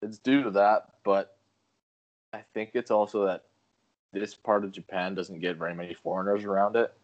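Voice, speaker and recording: A man from Canada, a guest on a video call, telling relaxed stories and laughing often. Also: lowish voice, normal speed, dull audio. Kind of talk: casual chat. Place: home in rural Japan.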